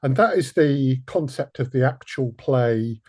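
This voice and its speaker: Male host, bit raspy, medium pitch, casual thoughtful interviewer who hesitates. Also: a little slow, mellow. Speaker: podcast host.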